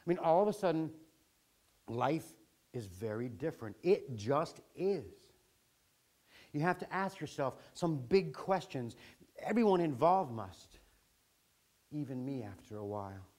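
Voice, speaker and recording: A man performing an autobiographical spoken-word story with theatrical, deliberate phrasing and wry asides. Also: fairly high pitch, big pitch swings, a little mellow. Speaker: storyteller performing his own account.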